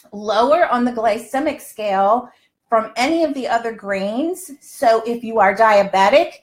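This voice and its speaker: A woman in her sixties chatting and explaining in a folksy, emphatic way as host of a live cooking show, recorded in a home kitchen. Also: fairly high pitch. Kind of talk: chatty instructional livestream.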